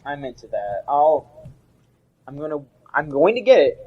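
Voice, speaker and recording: A man's voice: fairly high pitch, sing-song, moderate pace, highs cut off. A young man co-hosting a casual gaming podcast, rambling and often restarting his sentences. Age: young adult. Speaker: podcast co-host.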